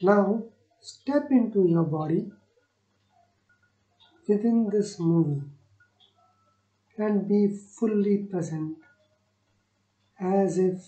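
A woman guiding a meditation in short, soothing phrases with long pauses between them. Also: fairly low pitch, warm tone, lo-fi sound. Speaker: meditation guide. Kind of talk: guided meditation narration. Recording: roomy echo on the voice.

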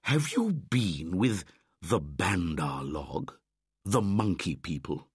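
Patient old storybook bear, slow and lively in pitch; male narrator voicing it. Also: slightly rough, no echo, studio quality, heavily acted. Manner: slow, deliberate, warmly instructive.

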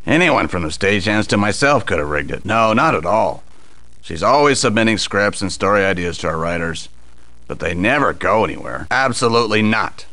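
A deep-voiced, forceful male studio boss from a video game. He is harried and impatient, answering in a brusque, businesslike way. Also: animated, a little fast. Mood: brusque, defensive.

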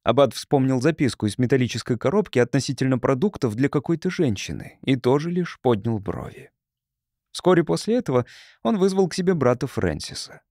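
A man's voice: mid-range voice, very animated, fairly quick, a little mellow, no echo, studio quality. A man reading literary fiction as a scripted audiobook narration.

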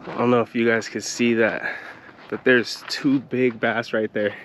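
A man's voice: medium pitch, little pitch movement, unhurried, a bit bright, slight background noise. A man casually talking viewers through a fishing trip, laid-back and chatty, calling them guys and bro. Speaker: fishing vlogger. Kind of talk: casual outdoor commentary. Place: outdoors by an aqueduct.

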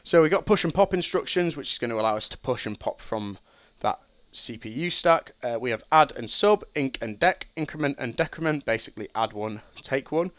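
A man's voice: medium pitch, expressive, fairly quick, hardly any pauses, fairly bright tone, no echo, dull audio. A young man with a cold, sniffly as he explains a processor design on a casual live stream, thinking aloud with ums and restarts. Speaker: streamer teaching game programming.

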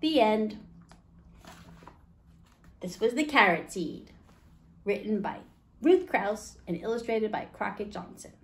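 A woman's voice: medium pitch, expressive, takes it slowly, pauses often. A woman in her forties reading a picture book aloud to children as a storytime host, in simple phrases with questions to the listeners. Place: small indoor room.

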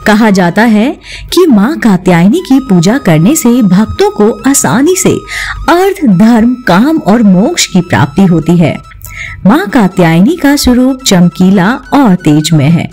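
Slow, lively-pitched woman devotional narrator. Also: breathy edge. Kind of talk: scripted devotional narration with recited prayers. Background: music.

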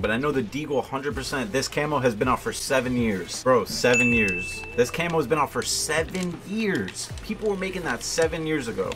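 A young man giving casual gameplay commentary in a slangy, informal, conversational way. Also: mid-range voice. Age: young adult. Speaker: gaming video host. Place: indoor room at home, gaming setup.

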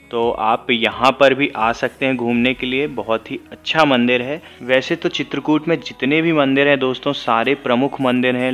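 A man's voice: mid-range voice, fairly bright tone. A man vlogging outdoors around riverside temples, chatting to viewers as friends and giving tips for visitors. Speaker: travel vlogger. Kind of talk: casual travel vlog narration.

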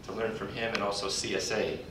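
Older man at a podium, echoey and distant. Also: little pitch movement. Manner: folksy, warm-humored, halting with pauses. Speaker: conference speaker introducing a colleague. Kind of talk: speaking at a podium. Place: large conference hall, indoors. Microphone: podium mic, recorded from afar by a computer. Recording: distant echoey hall recording with background rumble.

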